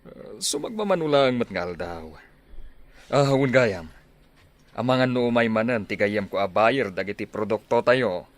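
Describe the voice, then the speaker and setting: Low-voiced village father played by a man. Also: lively intonation, moderate pace, no room sound, natural voice. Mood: matter-of-fact. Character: village father, a farmer trading in produce. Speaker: actor in a radio drama. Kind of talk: Ilocano radio drama acting.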